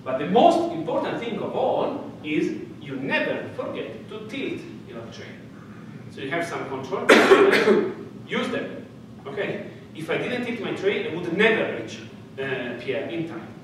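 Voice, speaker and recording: Animated Italian-accented man lecturing in echoey hall. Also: high-pitched, measured pace, distant mic. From Italy. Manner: animated, gesturing, playful storytelling with frequent "okay?" checks. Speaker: physics lecturer. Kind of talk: lively university lecture. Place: dark lecture hall with projector screen. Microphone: distant room mic, speaker walking around. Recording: echoey lecture hall recorded from afar with room noise.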